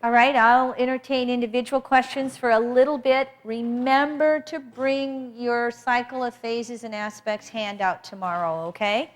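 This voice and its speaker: A woman teaching an astrology workshop in a loud, emphatic voice, repeating key points for her class. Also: on the high side, fairly even intonation, moderate pace, hardly any pauses. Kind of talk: workshop lecture.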